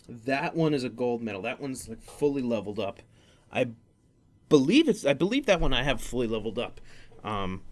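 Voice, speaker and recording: A man with an average-pitched voice hosts a laid-back gaming podcast. He talks off the cuff in a rambling, hesitant way. He often doubles back to correct himself as he explains. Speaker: podcast host. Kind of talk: casual gaming podcast talk.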